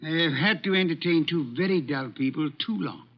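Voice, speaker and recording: A man's voice: a little high, a little fast, natural voice. A man playing a portly, pompous, irritable genius detective with precise, haughty diction, in old-time radio drama style. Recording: dull vintage radio recording.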